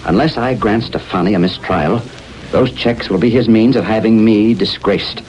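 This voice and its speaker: A man's voice: fairly low pitch, moderate pace, darkish tone, highs cut off, slight background noise, close to an everyday voice. A man acting a proud, cornered judge in an old-time radio drama, formal, strained and suspicious. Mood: bitter, cornered.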